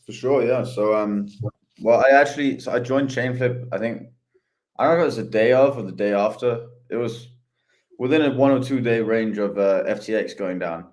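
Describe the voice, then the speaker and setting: A man chatting casually as a podcast guest, easygoing and hesitant with many pauses. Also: mid-range voice, a little mellow. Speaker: head of ecosystem, podcast guest.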